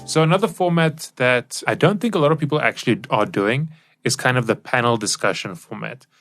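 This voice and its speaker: A man's voice: mid-range voice, a little fast, fairly bright tone, soft background music. Man casually explaining on a podcast. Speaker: podcast co-host and interviewer.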